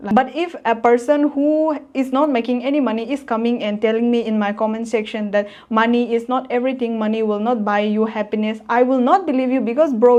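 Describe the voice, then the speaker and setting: A woman's voice: fairly high pitch, darkish tone, muffled recording. A woman in her twenties talking straight to camera in a casual motivational vlog, earnest, blunt and emphatic. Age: 20s. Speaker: vlogger.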